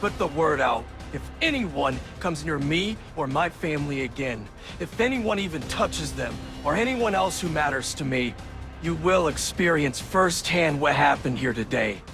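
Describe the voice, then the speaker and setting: An actor playing a vengeful, menacing superhero in a comic-book drama, speaking with deliberate, measured pauses and a gravelly edge. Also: male voice, a little high, animated, lightly acted. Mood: grim, vengeful. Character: vengeful, menacing male superhero threatening his enemy. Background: music.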